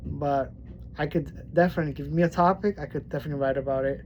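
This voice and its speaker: A man from Miami with a mild speech impediment, talking casually to his viewers. He rambles, restarts his sentences and leans on fillers. His voice is soft and mellow, with a faint hiss behind it. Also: fairly high pitch, steady tone, fast. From Miami, Florida. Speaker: poetry vlogger. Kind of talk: casual vlog talk and poetry reading.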